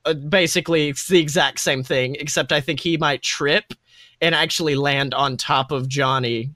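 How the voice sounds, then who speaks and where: A man's voice: a little high, steady tone, moderate pace, sharp tone, dry recording, studio quality. A young man casually narrating a tabletop game as game master, playful, exclamatory and sweary. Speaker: game master and podcast host.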